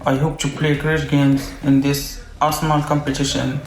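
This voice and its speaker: Flat-voiced player, echoey. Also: male voice, fairly high pitch, normal speed. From Morocco. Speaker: esports player. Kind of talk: pre-match player introduction.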